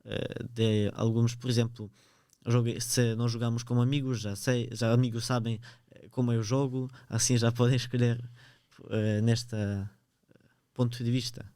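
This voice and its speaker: A man's voice: mid-range voice, level tone, normal speed, warm tone, dry recording, studio quality. A teenage boy from Ukraine with a Ukrainian accent, a podcast guest answering hesitantly and searching for words in a language that is not his own. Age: teens. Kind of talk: podcast interview.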